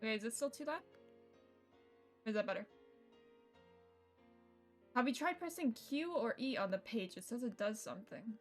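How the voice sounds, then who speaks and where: A woman's voice: a little high, expressive, moderate pace, long pauses, soft background music. A young woman livestreamer chatting casually and informally to her viewers, swearing freely as she goes. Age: young adult. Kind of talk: casual chat to stream viewers while setting up a game. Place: indoors at home computer setup. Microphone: streaming mic with noise gate.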